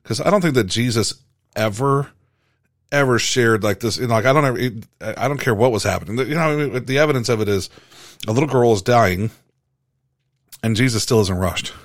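A man with a deep voice in a casual faith discussion, rambling with many halting restarts. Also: no echo, studio quality.

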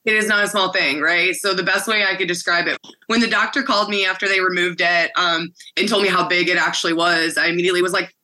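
A woman in her forties with a deep, raspy voice that tires easily, telling her story candidly in a casual interview with frequent fillers. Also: fairly even intonation, quick pace, bright, forceful tone, rough recording. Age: 40s. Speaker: guest, former butcher shop owner.

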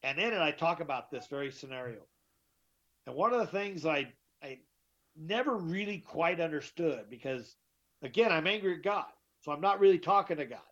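A middle-aged man with a deeper voice, telling his story as an interview guest, emphatic and often repeating himself. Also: animated, normal speed, a bit bright. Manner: emphatic, repeats and restarts words. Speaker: guest, author. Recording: dull lo-fi sound.

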